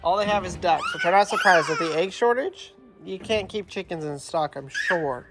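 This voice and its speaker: A man's voice: high-pitched, moderate pace, music in the background. Congested man vlogging a store trip. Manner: upbeat, narrates errands to camera. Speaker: family vlogger. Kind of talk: casual vlog chat. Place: farm supply store.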